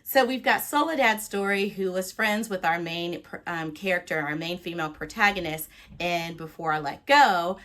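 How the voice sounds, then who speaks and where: Expressive, chatty woman book vlogger. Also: on the low side, normal speed. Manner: expressive, playful, emphatic. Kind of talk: casual, chatty talk to viewers.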